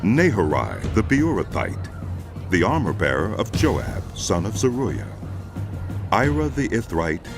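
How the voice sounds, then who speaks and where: A man narrating a dramatized audio Bible in a solemn, measured, scripted storytelling style. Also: on the low side, big pitch swings. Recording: music behind the voice. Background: background music.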